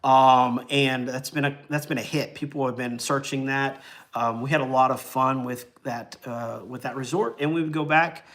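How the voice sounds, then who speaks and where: A man's voice: medium pitch, steady tone, normal speed, a bit bright. Easygoing man in his forties, rambling. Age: 40s. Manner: rambling and easygoing, many ums and uhs, chuckles. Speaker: travel vlogger hosting a livestream. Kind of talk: casual livestream chat.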